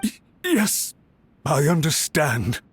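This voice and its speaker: An actor voicing a flustered, servile official in a video game, husky, nervous and anxious to appease. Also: male voice, fairly high pitch, sing-song, heavily acted. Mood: cowed, deferential. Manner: nervous, deferential, hurried. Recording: background music under the voice.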